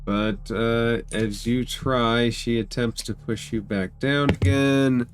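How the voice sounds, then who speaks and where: A man's voice: medium pitch, fairly even intonation, takes it slowly, background noise. A man narrating a tabletop fantasy game as game master, improvising descriptions and rulings for the players. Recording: background instrumental music under voice.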